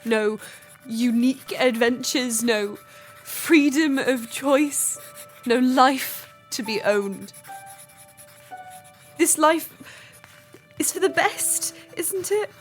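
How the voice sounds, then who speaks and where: Melancholy young gentlewoman played by a woman, slow halting delivery, higher voice, music underneath. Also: lively intonation, heavily acted. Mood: despairing. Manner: wistful, halting. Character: melancholy, sheltered young Victorian gentlewoman confiding in diary. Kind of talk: dramatic diary monologue, radio drama.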